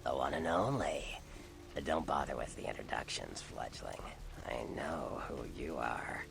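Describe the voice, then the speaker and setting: An actor playing a seedy, cynical vampire informant in a video game, sardonic and streetwise in manner. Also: male voice, animated, measured pace, noisy background, exaggerated voice. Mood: sardonic, amused. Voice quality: gravelly, deep.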